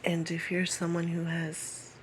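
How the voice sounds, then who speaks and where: A woman in her late thirties talking personally to camera in a halting way, repeating phrases and sometimes dropping to a whisper. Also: lowish voice, completely flat delivery, slight background noise. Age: late 30s. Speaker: vlogger.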